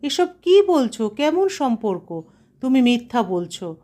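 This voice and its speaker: A woman's voice: a little high, sing-song, a little slow, warm tone. A woman voicing a shocked, hurt middle-aged wife in an audio story, incredulous and reproachful. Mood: hurt disbelief.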